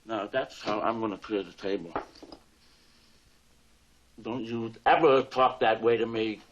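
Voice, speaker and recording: Domineering drama husband, played by a male actor: low, menacing, on muffled, faintly noisy film audio. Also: unhurried, close to an everyday voice. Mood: menacing anger. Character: brutish, domineering, hot-tempered husband. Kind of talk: screen drama acting. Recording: dull, slightly noisy old film audio.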